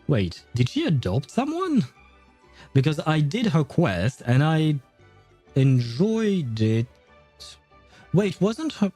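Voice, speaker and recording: A man streams a video game and chats with his viewers. His voice is mellow and mid-pitched, and he rambles hesitantly. Faint music plays behind him. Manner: rambling, hesitant, chuckles often. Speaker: livestreamer playing a game. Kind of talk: casual chat with viewers while gaming.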